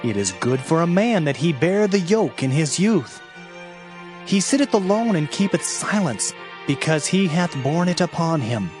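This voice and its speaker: A man narrating a dramatized Bible reading in a solemn, measured, emotive manner. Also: fairly high pitch, very animated. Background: background music.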